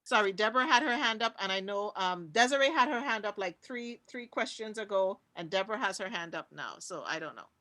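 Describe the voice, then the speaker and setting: A woman's voice: medium pitch, lively intonation, brisk, few pauses, sharp tone, low-quality recording. A woman with a Trinidadian accent speaking passionately on a conference panel, repeating her key words for emphasis over a video call. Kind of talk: impassioned talk on a panel.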